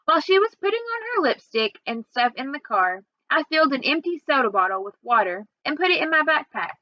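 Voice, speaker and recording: A woman reading a children's novel aloud in an expressive storytelling way. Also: sits high, a little fast, no echo, dull audio. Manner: expressive, acts out dialogue. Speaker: reader.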